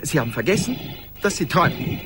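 A man's voice: on the high side, very animated, fast talker, over music. An actor in a radio play playing an anxious, mild man who hesitates and fusses with concern over his friend. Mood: reasoning. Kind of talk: 1980s German radio play acting.

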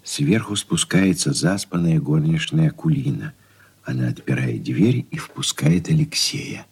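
A man's voice: extremely low pitch, lively intonation, unhurried, husky. A man reading stage directions as narrator of a radio play, in a scripted, dramatic style. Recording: loud music under the voice.

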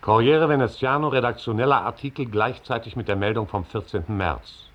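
A muffled, band-limited radio recording carries an actor playing a male newspaper voice. He is mid-pitched and reads in a formal, declamatory way, as an editorial in a radio play. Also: brisk, soft background music, close to an everyday voice. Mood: sternly formal. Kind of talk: formal read-out in a radio drama. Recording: dull band-limited old radio sound.

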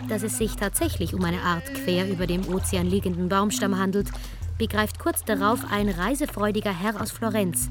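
A woman reading scripted dramatic storytelling narration in a measured way with emphatic pauses. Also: medium pitch. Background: music.

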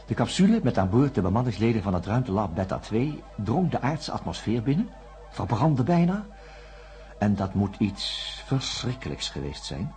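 A reflective narrator of a space disaster tale, speaking deliberately and pausing for effect. His pitch moves expressively, and music plays behind him in this radio drama. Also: mellow. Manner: deliberate storytelling with rhetorical pauses. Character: male narrator recounting an astronauts' disaster, measured and reflective.

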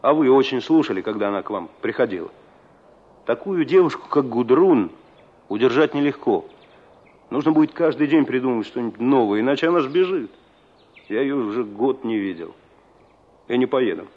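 A man's voice: mid-range voice, lively intonation, moderate pace, long pauses, lightly acted. An actor playing a plain, practical young village man in a Soviet radio drama, wistful and sensible in colloquial speech. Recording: muffled old radio drama recording.

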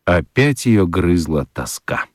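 A man narrating a children's radio story in an expressive, scripted storytelling style. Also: deep voice, slow, dry recording, studio quality.

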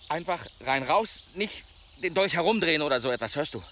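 Radio drama demon hunter, animated and whiny, pleading, clear outdoor noise behind. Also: male voice, fairly high pitch, brisk. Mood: plaintive, pleading. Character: injured, plaintive, fussy male demon hunter. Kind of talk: German radio drama acting.

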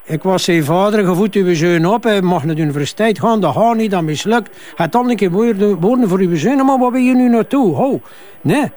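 An actor playing a defensive older Flemish father and company boss in a crime drama, halting, stammering and repeating himself. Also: high-pitched, darkish tone, close to an everyday voice. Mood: agitated, defensive. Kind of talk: naturalistic TV crime drama dialogue.

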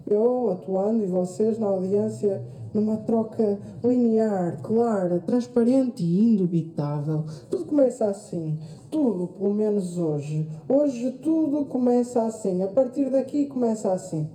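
A woman with a European Portuguese accent performs a theatrical radio-drama monologue, deliberate and reflective, with pauses and repeated phrases. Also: mid-range voice, mellow. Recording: roomy echo with faint noise.

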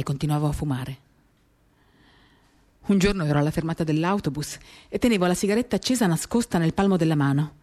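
A woman giving a measured, intimate dramatic reading of first-person literary narration in short, paused phrases, accompanied by acoustic guitar. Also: sits low, darkish tone. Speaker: reader of a novel.

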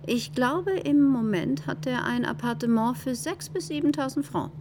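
An actress playing a snobbish, practical bourgeois wife in a German radio play, weighing things sceptically and grudgingly. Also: female voice, high voice, normal speed, a little mellow, slight background noise, close to an everyday voice. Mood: sweet, then objecting.

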